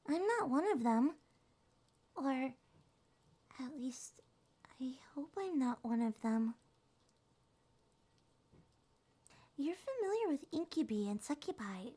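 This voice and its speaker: A woman voicing a small, shy, gentle monster girl in an ASMR roleplay, speaking softly, hesitantly and reassuringly. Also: sits high, full character voice. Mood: gentle, explaining. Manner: hesitant, soft-spoken, reassuring.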